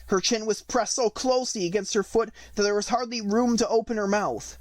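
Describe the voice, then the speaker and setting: A woman reading a storybook aloud as an audiobook narrator, in an expressive scripted style. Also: mid-range voice, fairly quick, no echo.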